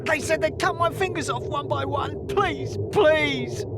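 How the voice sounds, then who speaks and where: A terrified gambler begs for mercy in a crime game. His voice is extremely high, against very loud background noise. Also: measured pace. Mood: terrified pleading. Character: terrified, pleading male gambler who betrayed gangsters. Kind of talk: dramatic game cutscene acting.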